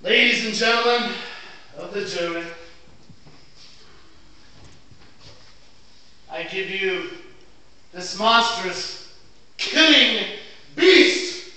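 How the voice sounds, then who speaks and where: An actor playing an impassioned lawyer pleading to a jury in grandiloquent, declamatory stage oratory. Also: male voice, high voice, animated, slow, long pauses, bright, forceful tone, heavily acted. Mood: accusing. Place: indoor theatre stage. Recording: echoey theatre, distant mic, faint noise.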